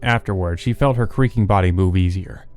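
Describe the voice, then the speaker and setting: Low-pitched man narrating a visual novel. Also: brisk, darkish tone. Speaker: let's play host reading a visual novel. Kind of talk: narration read aloud with brief asides.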